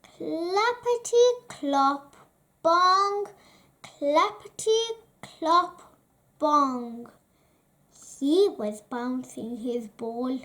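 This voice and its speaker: A woman reads a children's story aloud in a high voice. Her pace is extremely slow and deliberate, with expressive scripted narration for young listeners. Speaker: children's book reader.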